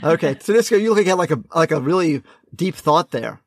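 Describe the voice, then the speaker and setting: A man co-hosting a podcast with casual banter, halting and often repeating his words. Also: fairly high pitch, expressive, no room sound. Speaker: podcast co-host.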